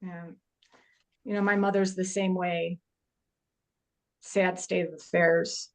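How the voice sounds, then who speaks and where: A woman's voice: fairly low pitch, flat delivery, takes it slowly. A woman playing a trusting office clerk in a horror script read, in an everyday voice. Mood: sympathetic.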